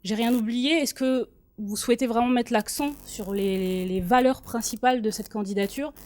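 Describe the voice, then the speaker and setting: A woman's voice: on the high side, moderate pace, darkish tone. A woman conducting a formal political interview, with polite and somewhat hesitant phrasing. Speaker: debate interviewer.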